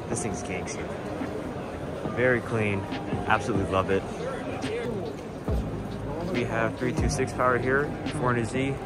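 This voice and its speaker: A man filming himself as he walks a large indoor car show, giving casual, enthusiastic commentary on the builds with light swearing. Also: fairly low pitch. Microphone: handheld camera, filming point of view. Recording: loud music behind, busy hall.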